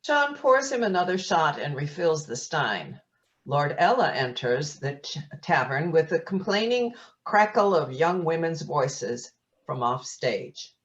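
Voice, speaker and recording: Low-voiced woman narrator, lively intonation. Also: unhurried. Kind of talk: scripted narration, reading stage directions. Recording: dull lo-fi audio.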